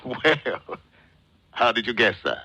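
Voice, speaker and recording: An actor in a 1940s radio drama playing a wily, jovial middle-aged gentleman villain who talks with pompous, florid formality. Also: mid-range voice, lively intonation, takes it slowly, exaggerated voice, poor audio. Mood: gleefully amused. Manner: pompous, formal, chuckling, says "sir" constantly. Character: wily, jovial, florid fat-cat gentleman villain posing as coin dealer. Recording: old 1940s radio recording.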